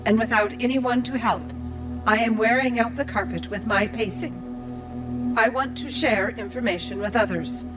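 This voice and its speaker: A woman's voice: a little high, moderate pace, over music, natural voice, rough recording. A woman playing a lonely, earnest robot librarian in an audio drama, speaking in formal, precise sentences. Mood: lonely, yearning. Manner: formal, precise recitation of data.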